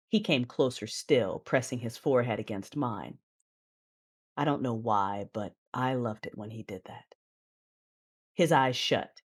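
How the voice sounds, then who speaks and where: Husky, intense female Sith warrior. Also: a bass voice, exaggerated voice. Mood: intimate. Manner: intimate, breathy, intense delivery. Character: passionate young female Sith warrior, wounded and fierce. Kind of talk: first-person dramatic narration in an audio drama.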